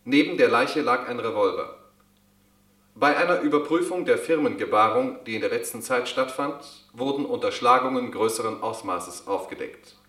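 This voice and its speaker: An actor playing a radio newsreader who reads a bulletin in a formal, even manner. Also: male voice, medium pitch, moderate pace, echoey room, lightly acted. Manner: formal, even news reading. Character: radio newsreader, neutral adult man. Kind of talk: news bulletin within radio drama.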